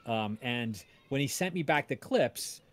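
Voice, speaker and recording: A man's voice: mid-range voice, lively intonation. A man telling a story casually on a livestream, rambling and often interrupting himself. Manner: rambling, halting, self-interrupting. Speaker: podcast creator and voice-drama maker.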